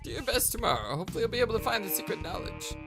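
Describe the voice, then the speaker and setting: Man putting on a prim, high-pitched, chatty character. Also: sing-song, racing, vocal fry, over music, exaggerated voice. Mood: earnest, chatty. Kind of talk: visual novel character read aloud.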